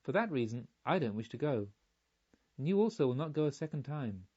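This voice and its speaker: A man reading a storybook, voicing a knowing, cautious friend in firm, measured words on a slightly muffled recording. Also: medium pitch, big pitch swings, dark tone, slightly rough. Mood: knowing, warning. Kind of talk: audiobook storytelling.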